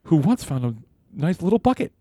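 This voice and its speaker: A man's voice: fairly high pitch, very animated, a little fast, dark tone, no room sound, studio quality. A man co-hosting a casual podcast chat, teasing and prodding his friend playfully. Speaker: podcast co-host.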